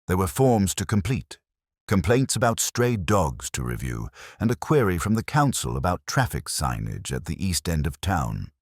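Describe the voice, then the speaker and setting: A male narrator reads a mystery aloud in a wry, stately storytelling manner. His voice is extremely deep yet lively in its pitch. The recording is dry and close, with no room sound. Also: moderate pace, no room sound. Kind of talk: scripted audiobook narration.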